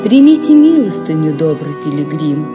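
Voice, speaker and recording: A woman's voice: sits low, sing-song, normal speed, over loud music. A woman playing a gracious, sorrowful noble lady in an audio drama, speaking in a stately, formal manner.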